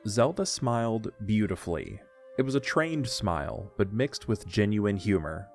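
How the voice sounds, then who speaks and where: A man's voice: lowish voice, expressive, a little slow, darkish tone, faint music behind. A man narrating a scripted story for an audio drama.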